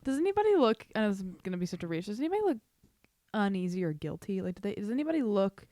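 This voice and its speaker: A woman with a medium-pitched voice talks casually as a player in a tabletop game. The sound is dry and close, with a loose, conversational delivery. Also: sing-song, fast, dark tone, dry recording.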